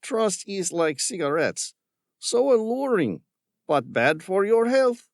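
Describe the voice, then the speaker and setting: A man's voice: sits high, very animated, slow, no echo, clean studio sound, pitched above the performer's own voice, exaggerated voice. A man voicing a greedy, sarcastic male villain in an audiobook, with a patronizing, mocking manner and an affected Spanish-tinged accent. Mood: sneering. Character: sneering, greedy male villain caretaker with gun. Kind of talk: audiobook character voice.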